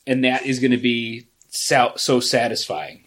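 A man's voice: mid-range voice, animated, unhurried, fairly bright tone. A man guesting on a podcast, joking around in easygoing chat. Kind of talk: casual chat.

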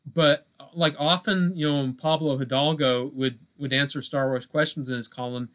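A man co-hosting a podcast in casual chat, halting and full of filler phrases. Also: a little high, steady tone, a bit bright. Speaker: podcast co-host.

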